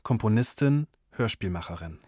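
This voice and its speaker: A man's voice: on the low side, lively intonation, moderate pace, dark tone, no room sound. Man announcer, dry studio sound. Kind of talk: terse scripted titles and captions.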